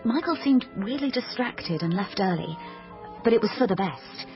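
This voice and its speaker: A woman's voice: mid-range voice, lively intonation, normal speed, lightly acted. Actress as a wry young woman under music. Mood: wry narration. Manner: wry, melodramatic, flustered in dialogue. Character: naive, dreamy, chatty young woman obsessed with detective novels. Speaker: lead character and narrator. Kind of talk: radio drama, dialogue and wry first-person narration. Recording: dull lo-fi radio sound under music.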